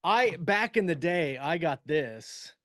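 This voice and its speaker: A man co-hosting a writing podcast in casual shop talk, with a halting way of circling back to rephrase. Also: a little high, animated, fairly bright tone, no room sound, studio quality. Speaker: podcast co-host and science fiction writer.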